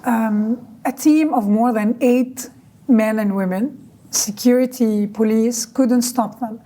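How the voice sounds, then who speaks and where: A woman from Lebanon who speaks English as a second language, her first being Arabic, talking forcefully and indignantly in an interview, stressing her points through lists. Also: on the high side, slow. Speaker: guest. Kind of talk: interview conversation.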